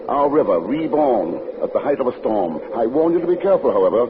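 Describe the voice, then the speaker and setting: A male actor playing a brooding, sinister man who narrates his own dark tale in formal, measured old-time radio style. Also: medium pitch, big pitch swings, phone-quality sound, noisy background, lightly acted. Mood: sly warning. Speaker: narrator and lead character. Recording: band-limited vintage radio recording with music.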